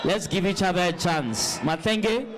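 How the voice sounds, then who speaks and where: A man's voice: high voice, expressive, unhurried. A man firmly moderating a live political debate. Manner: firm, calling for order. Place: public town hall event. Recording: noisy live hall recording.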